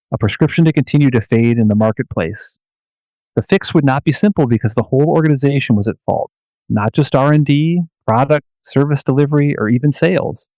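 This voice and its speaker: A man delivers scripted dramatic narration for a radio play. His voice sits in a middle register and he tells the story in measured, portentous phrases, recorded dry. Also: medium pitch, dark tone, dry recording, poor audio.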